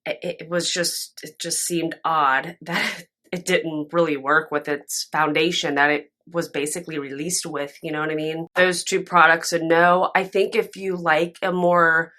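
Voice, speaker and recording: A young woman reviewing makeup to camera at home, chatty and candid, her voice raspy and stuffy from a nap. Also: sits low, a little slow. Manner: chatty, candid and expressive, with frequent fillers. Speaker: beauty vlogger. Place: home room with candle and plants, indoors. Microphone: camera in front, sometimes very close.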